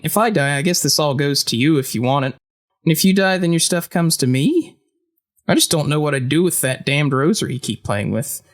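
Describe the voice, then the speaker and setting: Man acting a flamboyant ring boss, high voice, swooping intonation, chatty, morbid, theatrical, audio drama. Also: lightly acted. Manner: theatrical, swinging, talkative.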